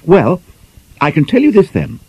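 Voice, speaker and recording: A man's voice: a little high, wildly swooping pitch, moderate pace, vocal fry, warm tone, husky, voice pushed up, full character voice, rough recording. A man voicing a mischievous nineteen-year-old young woman who needles her friend with gleeful mockery. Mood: teasing.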